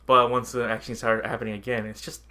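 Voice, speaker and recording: A man's voice: mid-range voice, flat delivery, fast talker, bright, forceful tone. A man co-hosting a podcast, chatting in an informal, jokey way. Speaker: podcast co-host. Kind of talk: casual chat.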